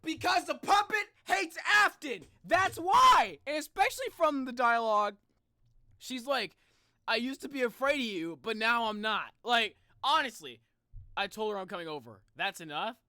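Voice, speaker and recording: Excitable streamer, casual slangy live reactions, bright forceful voice, pitch jumps around a lot. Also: normal speed.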